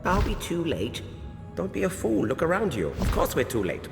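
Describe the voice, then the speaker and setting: A man's voice: fairly high pitch, huge pitch swings, normal speed, gravelly, exaggerated voice. A man playing an impatient, brusque adventuring companion in a video game. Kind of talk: video game character acting. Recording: reverberant with prominent music behind.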